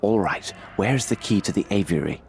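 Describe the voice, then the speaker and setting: A man playing a composed gentlemanly detective in a video game, formal, measured and courteous. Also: fairly low pitch, expressive, gravelly, a little hiss or hum. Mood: polite, probing. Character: composed gentlemanly detective disguised as a male nurse.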